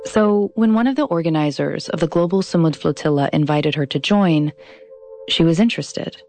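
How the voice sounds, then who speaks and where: A woman in her thirties hosting and narrating a scripted documentary podcast, measured and deliberate, slowing down on key phrases. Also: low voice, a little mellow, soft background music.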